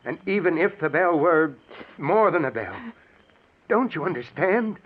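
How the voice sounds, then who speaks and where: An actor playing a stern, rational middle-aged doctor and protective husband, with commanding, dramatic old-time radio delivery. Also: male voice, a little high, lively intonation, a little slow, narrow, tinny audio. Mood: alarmed, urgent. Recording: thin, band-limited old radio recording.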